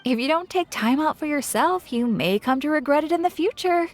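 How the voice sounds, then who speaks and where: A woman's voice: sits high, lively intonation, fairly quick, faint music behind. A woman voicing a friendly, chatty shopkeeper in an anime video game, with a knowing, teasing manner.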